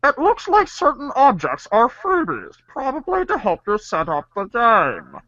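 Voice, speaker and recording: Excitable young gamer, acted by a woman, theatrical and deliberate, with hugely swinging pitch, slow pace. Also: no room sound, dull audio, heavily acted. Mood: earnest, careful. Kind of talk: fan script reading.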